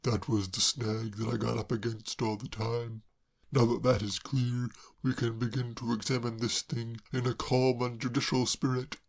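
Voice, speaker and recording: A reader voicing a genial, bumbling young English gentleman who speaks slowly and in a puzzled way. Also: very deep voice, level tone. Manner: fussy, polite, slow and puzzled.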